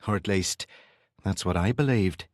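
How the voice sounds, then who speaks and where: A man's voice: low-pitched, unhurried, a little mellow, dry recording, studio quality. A man voicing a guarded, secretive ex-militant with a Northern Irish accent in a narrated novel. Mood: guarded, confessional. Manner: evasive, feigned casualness.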